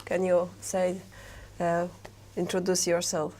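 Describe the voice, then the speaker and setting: Lower-pitched woman hosting an interview. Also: monotone, slow pace, dark tone. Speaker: TV interviewer and host. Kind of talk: conversational TV interview.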